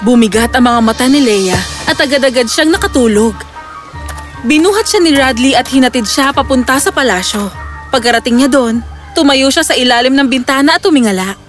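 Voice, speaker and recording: Woman, high voice, scripted children's story narration, faint music behind. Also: animated, a little fast.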